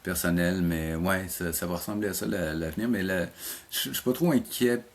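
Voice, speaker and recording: A mature man with a Québécois French accent, speaking informally and haltingly as a guest in a casual interview. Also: sits low. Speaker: interview guest, animation teacher and program coordinator.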